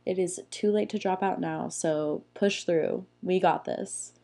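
Young woman, raspy, hoarse, lower voice, warm mellow tone, casual podcast chat. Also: measured pace. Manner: chatty, playful, rambling with fillers. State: hoarse from being sick. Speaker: podcast host. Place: small room at home.

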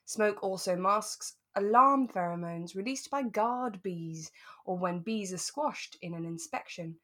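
British woman podcast co-host, medium pitch, chatty n giggly, pokes fun at herself. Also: a little slow, a bit bright. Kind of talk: relaxed chat about a radio drama.

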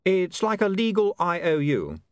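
A man's voice: high-pitched, huge pitch swings, unhurried, no room sound, voice pushed up, exaggerated voice. A man doing an earnest, prim young newspaperman. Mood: insistent.

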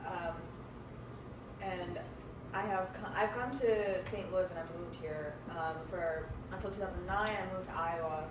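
A woman's voice: lowish voice, fairly even intonation, a little slow. Young woman, casual, in an echoey room. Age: young adult. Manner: laughing, playful and self-deprecating. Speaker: podcast co-host. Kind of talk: casual chat. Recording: roomy echo, distant mic, noise.

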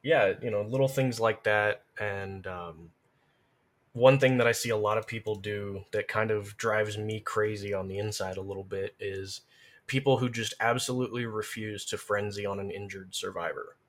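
A man's voice: fairly low pitch, moderate pace. A man chatting casually as a guest, explaining video game play in detailed, analytical fashion. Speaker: podcast guest, gamer.

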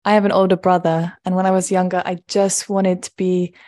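Chatty young woman host, lowish voice. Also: little pitch movement, a little fast. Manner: chatty, frequent soft backchannels. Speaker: podcast host. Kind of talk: casual interview chat.

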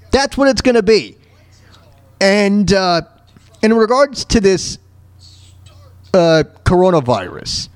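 A man hosting a talk show, delivering an opinionated solo rant in a halting, repetitive, emphatic way. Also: high voice. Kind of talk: opinionated solo rant reacting to news clips.

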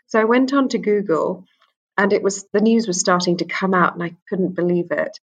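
A woman's voice: medium pitch, fairly even intonation, normal speed, lo-fi sound. A middle-aged woman born in England, speaking candidly and earnestly as an interview guest, often repeating words as she starts a thought. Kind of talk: conversational interview.

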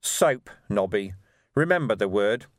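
A male narrator puts on a gruff police sergeant from a comic novel. He is weary and dry, delivering blunt, pragmatic lines with sardonic stresses that rise and fall widely. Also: fairly low pitch, expressive, a little slow, dry recording. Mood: stern, impatient.

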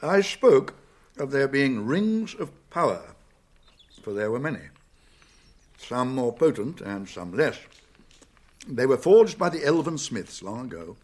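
A British actor playing a wise, kindly old wizard in a radio drama, speaking gravely and deliberately with thoughtful hums. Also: male voice, medium pitch, a little mellow. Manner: deliberate, pausing, murmuring hums and chuckles.

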